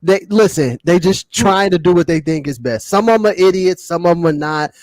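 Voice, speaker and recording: A man's voice: sits high, brisk, darkish tone, no echo. A man co-hosting a casual podcast, slangy and emphatic, often repeating his points. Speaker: podcast co-host.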